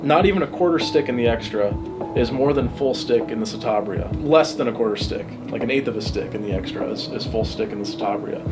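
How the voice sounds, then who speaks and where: A man's voice: mid-range voice, brisk, music in the background. A young adult man talking casually and enthusiastically, like a pilot encouraging and instructing someone. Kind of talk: casual flight instruction and chat.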